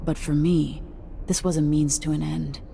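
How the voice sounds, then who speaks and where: A guarded masked infiltrator in a video game. Her voice is very low and mellow, cool and measured with dry skepticism. Faint noise sits behind her. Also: fairly even intonation, vocal fry. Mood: cool, purposeful. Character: guarded, world-weary masked female infiltrator. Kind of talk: dramatic video game dialogue.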